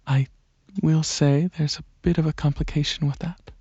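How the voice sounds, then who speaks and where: A man playing a gentle dragon alien prince in a soft-spoken ASMR roleplay, talking to the listener in a hesitant, soothing way with many pauses. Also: on the high side, steady tone, husky, no echo, dull audio. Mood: soft, coaxing. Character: gentle dragon alien prince, centuries-old royal researcher, softly coaxing.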